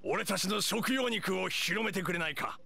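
An actor playing a stern, direct hunter chief in an anime game, blunt and firm. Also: male voice, high-pitched, moderate pace, vocal fry, a little hiss or hum, heavily acted. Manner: blunt, firm, matter-of-fact. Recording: studio voice over soft music.

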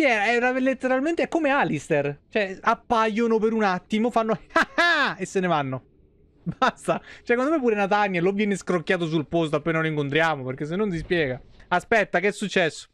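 A man's voice: high-pitched, fairly bright tone, no room sound. A man in his thirties giving casual, chatty live gameplay commentary in an informal way. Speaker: game streamer. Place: small indoor room.

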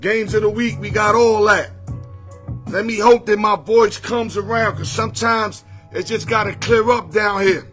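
A middle-aged man with a hoarse, strained voice, hosting a casual sports picks livestream from his home, greeting viewers by name in easygoing slang. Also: high voice, steady tone, normal speed, a bit bright, faint music behind. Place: home room full of sports memorabilia with a TV. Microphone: phone or webcam at arm's length.

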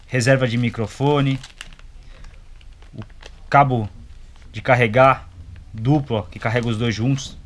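A man's voice: medium pitch, fairly even intonation, measured pace, pauses often. A young adult man with a Brazilian accent, giving a casual, colloquial, step-by-step product demonstration and checking in with short tags. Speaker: product reviewer. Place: motorcycle gear shop, indoors.

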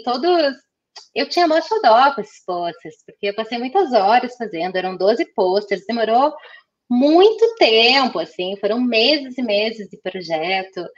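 A woman's voice: fairly high pitch, fairly bright tone. Guest designer, young woman, headset call, slightly muffled audio, chatty with lots of fillers. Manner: chatty, many fillers and restarts. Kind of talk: casual video-call interview.

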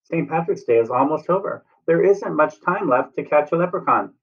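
A man's voice: a little high, animated, moderate pace, creaky, husky, voice pushed up, full character voice. A man voicing a helpful, determined cat from a children's storybook in a simple, earnest way. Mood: thoughtful. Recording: distant, muffled webcam sound.

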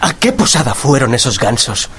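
A man's voice: medium pitch, sing-song, moderate pace, fairly bright tone, a little hiss or hum. An actor playing a nervous, evasive, desperate man in a radio drama, with an anxious, pleading manner. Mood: anxious, eager. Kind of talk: dubbed radio drama acting.

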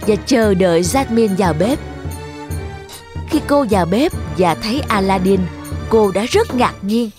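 A woman's voice: medium pitch, expressive, a little slow. Woman storyteller over prominent music. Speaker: narrator. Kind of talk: scripted children's storytelling narration. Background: music under the voice.

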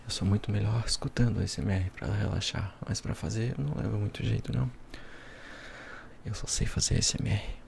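A young man acts out a smug, playful devil with a Brazilian accent for an ASMR roleplay. The voice is low and gravelly, soft and close to the mic, with a teasing, casual delivery. There is faint noise behind it. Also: fairly quick. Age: young adult. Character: smug, playful devil helping police as a consultant. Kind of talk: ASMR roleplay, soft talk mixed with whispering.

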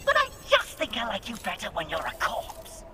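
A man voicing a touchy, spiteful talking gun in a video game, taunting and yelling repetitively. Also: high-pitched, huge pitch swings, moderate pace, background noise.

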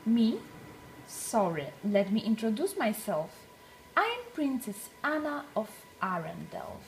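Lady reading a kids picture book out loud, slow and really expressive, very up and down. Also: on the high side, background noise. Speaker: storybook reader for children. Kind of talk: read-aloud children's storytelling.

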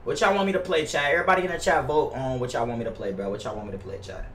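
Bright-voiced American man, profane game streamer. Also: medium pitch, steady tone, racing, roomy sound, distant mic, a little hiss or hum. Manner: profane, slangy, repetitive, griping. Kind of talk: casual livestream chat while gaming. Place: indoor home gaming room. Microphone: headset mic.

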